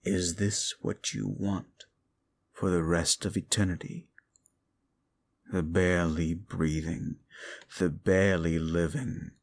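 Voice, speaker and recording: A man voicing a brooding, scornful fallen demon in an audio roleplay, with a deep gravelly voice and a slow way of talking. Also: fairly even intonation, heavily acted.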